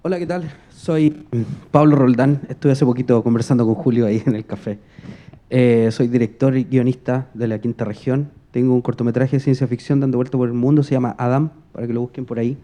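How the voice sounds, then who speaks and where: A man with a very dark, soft-edged voice at medium pitch speaks from the audience. He rambles informally toward his question in short, hesitant chunks. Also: steady tone, a little fast. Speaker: film director asking an audience question.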